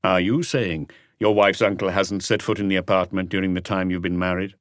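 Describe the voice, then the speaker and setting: A man voicing a calm police inspector in an audiobook, questioning in a measured, quietly firm and probing way. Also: deep voice, no echo, studio quality, natural voice.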